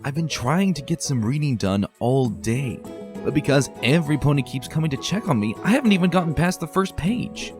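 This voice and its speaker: A man's voice: a little high, lively intonation, a little fast, over music, pitched above the performer's own voice, natural voice. A man doing an earnest bookish pony woman. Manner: earnest, mildly exasperated. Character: bookish young adult woman unicorn, earnest.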